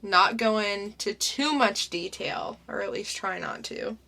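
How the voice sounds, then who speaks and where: Slow-talking bright young woman co-host. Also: mid-range voice, gravelly. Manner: unhurried, chatty, summarizing plots. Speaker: podcast co-host. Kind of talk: casual comic review chat.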